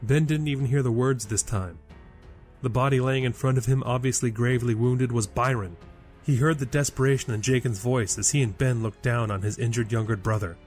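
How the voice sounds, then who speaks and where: A man's voice: mid-range voice, fairly quick, a little mellow. Man narrating dramatic fantasy over music. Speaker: storyteller.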